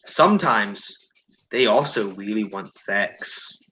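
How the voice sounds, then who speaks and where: A man giving blunt, sarcastic, exasperated commentary as a co-host, casual and quick to swear. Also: on the low side, lively intonation, bright, forceful tone, low-quality recording. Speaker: co-host reacting to articles. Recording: faint background noise.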